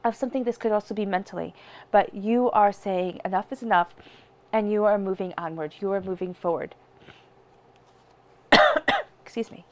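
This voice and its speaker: A woman's voice: medium pitch, a little mellow. A woman with a stuffy, congested voice from a cold, giving a chatty tarot reading straight to her viewers. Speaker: tarot reader. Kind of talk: direct-to-camera tarot reading.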